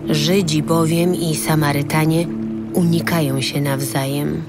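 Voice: mid-range voice, steady tone, unhurried, loud music behind the voice. A narrator reading scripted narration for a dramatised audio Bible.

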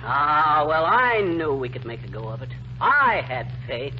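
An actor playing a boastful, wisecracking American husband in 1940s radio comedy, blustery and folksy. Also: male voice, on the high side, big pitch swings, measured pace. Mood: genial. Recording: muffled, noisy 1940s radio broadcast.

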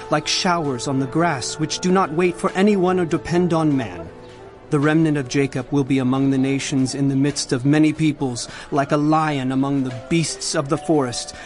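A man as high-voiced, earnest biblical prophet. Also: close to an everyday voice. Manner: solemn, declamatory. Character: earnest male biblical prophet proclaiming to his people. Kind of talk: dramatized audio Bible. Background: dramatic music.

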